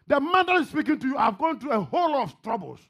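A middle-aged man with a Ghanaian accent and a husky voice preaches emphatically in short phrases, often shouting and repeating questions to his congregation. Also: very high voice, big pitch swings, quick pace, highs cut off. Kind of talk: fervent, call-and-response sermon with pauses for interpreter. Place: church hall, on stage before a congregation.

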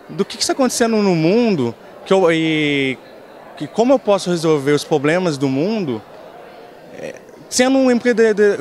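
Young guy, journalism student, halting answer, handheld mic outside at night. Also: a little high. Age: young adult. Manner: hesitant, with restarts. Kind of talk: interview answer. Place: outdoor sports court at night. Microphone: handheld mic held up by a reporter.

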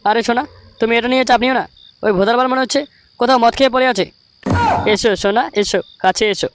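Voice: medium pitch, quick pace, a little hiss or hum, close to an everyday voice. A performer voicing a sly, wheedling male village priest in a comic cartoon dub.

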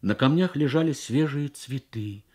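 A man reading literary prose as a dramatic radio narration, in measured, deliberate phrases with pauses. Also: medium pitch, darkish tone, husky. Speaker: reader of a short story on radio.

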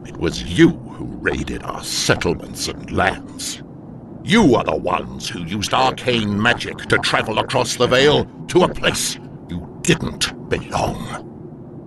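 A slow deep demon lord, wrathful, voice swings all over, audio drama with loud rumbly noise behind. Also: male voice, creaky, exaggerated voice. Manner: slow, theatrical, taunting and accusing. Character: wrathful, menacing demon overlord, grieving for his race.

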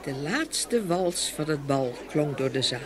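A woman narrating a scripted fairy tale with expressive storytelling. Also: low voice, a little slow, a crowd in the background.

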